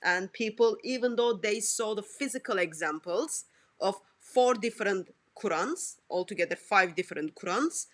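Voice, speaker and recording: A woman's voice: medium pitch, lively intonation, moderate pace, fairly bright tone. A woman hosting a religious discussion over a video call, asking pointed, repetitive questions. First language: not Arabic. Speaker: host. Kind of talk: presenting a religious debate.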